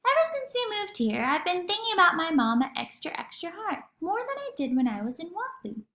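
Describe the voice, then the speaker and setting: A woman's voice: extremely high pitch, big pitch swings, a little fast, fairly bright tone, voice pushed up, heavily acted. A woman voicing an earnest, hopeful ten-year-old girl in a storybook reading. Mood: wistful. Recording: echoey room.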